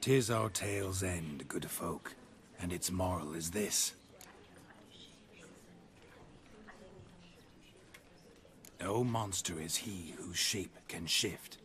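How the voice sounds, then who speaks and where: An actor playing a gruff, stoic monster hunter in a fantasy game, flat and laconic in delivery. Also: male voice, sits low, takes it slowly. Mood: solemn recital. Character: gruff, stoic monster-hunting witcher, adult man, dry-humored.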